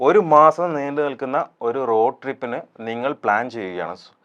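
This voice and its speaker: A man's voice: medium pitch, lively intonation, a little fast, a bit bright, clean tone, highs cut off. A man chatting casually as an interview guest. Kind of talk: casual chat.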